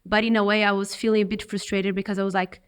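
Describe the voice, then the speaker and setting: A woman's voice: mid-range voice, fast, clear, resonant tone. Brazilian-accented woman, reflective guest. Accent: Brazilian Portuguese. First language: Portuguese. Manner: reflective, expressive, with restarts. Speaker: guest, business owner. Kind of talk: interview conversation.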